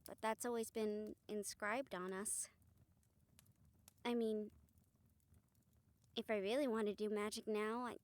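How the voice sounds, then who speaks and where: A woman playing a weary, apologetic female vampire hunter, speaking softly and hesitantly to a close friend in an audio roleplay. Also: mid-range voice, fairly even intonation, a little slow, pauses often, close to an everyday voice. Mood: weary, musing. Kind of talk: intimate audio roleplay to the listener.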